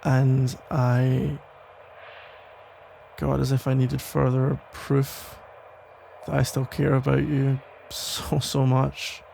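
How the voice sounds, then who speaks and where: Man playing a gravelly, tender protective police officer. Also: mid-range voice, completely flat delivery, slight background noise. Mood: tender, relieved. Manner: hesitant, halting, rambling with sighs and soft chuckles. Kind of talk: ASMR roleplay, intimate one-sided conversation.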